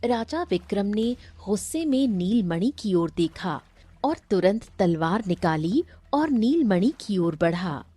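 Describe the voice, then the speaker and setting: A woman's voice: mid-range voice, very animated, slow, mellow, breathy edge. A woman narrating a scripted story as its storyteller.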